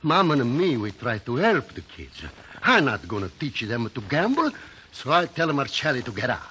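An actor playing an earnest, kindly immigrant shopkeeper in a 1950s radio drama, speaking broken English with a foreign accent. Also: male voice, mid-range voice, sing-song, normal speed, bright, forceful tone. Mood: sincere, reluctant. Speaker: courtroom witness. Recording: noisy 1950 radio broadcast recording.

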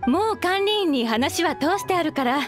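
A woman's voice: very high-pitched, lively intonation, normal speed, over music. A woman playing a composed, courteous young knife maker in an anime game, speaking in polite feminine phrases. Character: composed, courteous young craftswoman who makes knives. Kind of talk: Japanese anime game voice acting.

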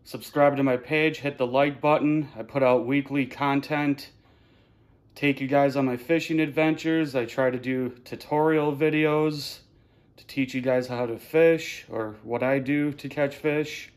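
A man, casually showing off his living room decor on a phone camera in a plainspoken, relaxed way. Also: mid-range voice, unhurried. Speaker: fishing channel host. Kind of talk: casual show-and-tell vlog. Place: living room at home, indoors. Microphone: handheld phone camera.

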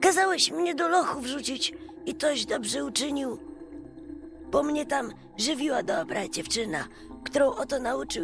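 A woman voicing a patient, wise old male prisoner in a storybook, speaking in a slow, emphatic, creaky way. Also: on the high side, pitched above the performer's own voice, full character voice. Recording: music behind the voice.

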